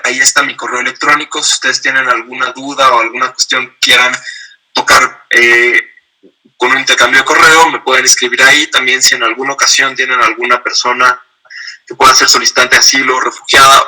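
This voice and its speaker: A man's voice: medium pitch, sharp tone, poor audio. A man giving an online training lecture on asylum law, explaining step by step and acting out short example dialogues.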